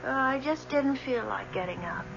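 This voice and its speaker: A woman's voice: high-pitched, animated, moderate pace, vocal fry, fairly bright tone, heavily acted. An actress playing a listless, lovelorn young woman, a sleepless professor's daughter in a radio drama. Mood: listless, weary. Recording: muffled old radio recording, faint noise.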